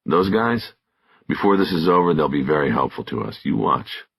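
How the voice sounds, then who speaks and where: Guy doing a veteran cop in an audiobook, gravelly low voice, steady encouraging mentor type. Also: normal speed, dry recording, full character voice. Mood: firm advising. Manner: plain-spoken, firm. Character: seasoned male police detective, steady and encouraging mentor. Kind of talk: audiobook character dialogue.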